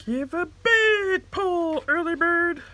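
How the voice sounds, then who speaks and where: A small, friendly worm from a storybook coaxes and cheers in a high, drawn-out voice, as a woman puts him on. He lingers on his words. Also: big pitch swings, bright, forceful tone, dry recording, heavily acted. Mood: encouraging. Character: small wiggly worm fellow, friendly and encouraging.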